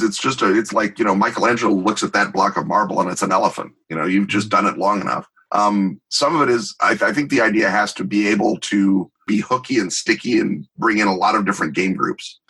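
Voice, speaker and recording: A man in middle age with a fairly low, forceful voice that has a husky grain. He explains things conversationally as an interview guest, wry and emphatic, wandering into examples. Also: poor audio. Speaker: interview guest, tabletop game designer.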